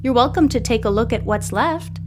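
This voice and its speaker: A woman's voice: fairly high pitch, animated, a little fast, over music, natural voice. A woman voicing a polite, apologetic female librarian in a storybook reading.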